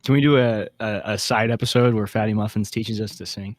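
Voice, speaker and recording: Male, young adult, low-pitched, joking podcast chat, informal, no room echo. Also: steady tone, fairly quick, studio quality. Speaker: podcast co-host. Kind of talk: casual chat.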